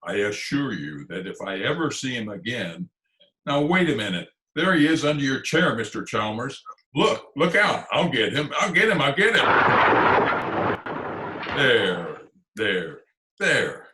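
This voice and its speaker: A man's voice: medium pitch, very animated, a little slow, very noisy. A man playing a pompous, easily angered middle-aged school principal in old-time radio comedy style, with theatrical, elaborate phrasing. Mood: indignant, then frantic. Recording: remote home recording over video call.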